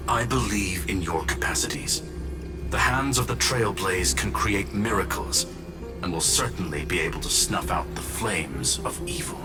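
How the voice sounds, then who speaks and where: Polite robot scholar, game character, deepish voice, formal, analytical, clipped statements, heavy music underneath. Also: male voice, animated, moderate pace. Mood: earnest. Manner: formal, clipped one-word announcements, analytical. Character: formal, logical, courteous male robot scholar. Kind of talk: video game voice acting.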